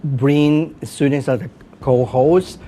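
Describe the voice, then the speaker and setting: A man's voice: steady tone, takes it slowly, dark tone. A middle-aged man with a Venezuelan accent, a native Spanish speaker, answering as a guest in a conversational interview with informal asides. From Caracas, Venezuela. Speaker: guest, professor and podcast host. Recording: clear background noise with low rumble.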